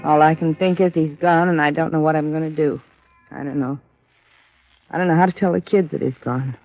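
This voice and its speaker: An actress playing a grief-stricken working-class widow, bitter and defensive, with plain plaintive speech in an old radio drama. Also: female voice, deep voice, fairly even intonation, fairly quick, a little mellow, no echo, soft background music. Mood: numb grief. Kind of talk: 1950s radio drama acting. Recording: dull vintage radio recording.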